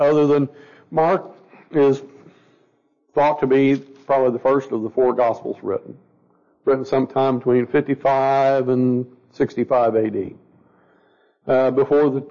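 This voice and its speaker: Scratchy-voiced man teaching Bible study. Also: mid-range voice. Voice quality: gravelly. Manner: conversational, repeats words, asks the group questions. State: stuffy and a little scratchy from a cold. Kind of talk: informal Bible study lesson. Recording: dull lo-fi recording.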